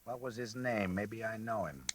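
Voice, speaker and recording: Low-voiced menacing actor as a tough man. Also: normal speed, close to an everyday voice. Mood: insinuating. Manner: terse, insinuating, slangy tough-guy delivery. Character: menacing, coolly taunting man trapped in an elevator. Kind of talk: 1940s-1950s radio suspense acting.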